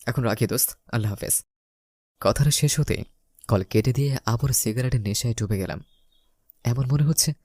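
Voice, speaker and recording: A male storyteller reads a scripted romantic tale in the first person. His voice is medium in pitch with a dry, echo-free sound, and he acts out lines of dialogue. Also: darkish tone. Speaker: story narrator.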